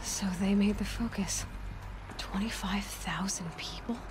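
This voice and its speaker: A woman's voice: mid-range voice, measured pace, whispered, lightly acted. A woman voicing a curious young female hunter who muses aloud, in a game. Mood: musing. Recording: game audio over loud music.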